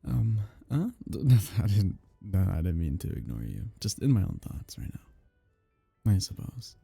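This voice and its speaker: Male actor as awkward kitsune date, very soft dark tone, gravelly fry, stammering, nervous, roleplay audio. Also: sits low, very animated, dry recording, faint music behind, heavily acted. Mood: flustered, distracted. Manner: halting, stammering, nervously apologetic. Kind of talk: roleplay audio acting, ASMR.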